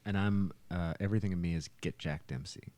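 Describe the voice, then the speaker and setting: Deep-voiced man chatting. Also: a little fast, darkish tone. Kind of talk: casual chat.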